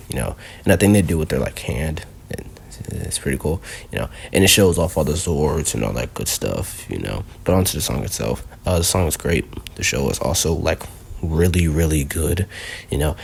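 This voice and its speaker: Low husky-voiced man rambling casually. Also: expressive, fairly quick. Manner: rambling, heavy on fillers like "you know". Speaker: video host. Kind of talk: casual countdown commentary.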